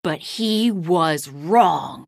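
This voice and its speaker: A woman reading a children's picture book aloud, hushed at the bedtime lines and louder in the action. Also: low voice, vocal fry, dry recording, studio quality. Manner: slow, lively storytelling, hushed at bedtime lines and loud in action. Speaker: storybook reader.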